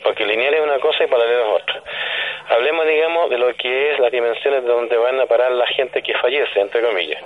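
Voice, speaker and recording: A Chilean man talks as a guest in a radio interview, at a middling pitch. He explains his ideas like a teacher, posing questions and checking that the listener follows. The sound is dull and lo-fi, like a telephone link. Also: a little fast. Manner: didactic, asks rhetorical questions and checks understanding. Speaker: radio guest, paranormal commentator.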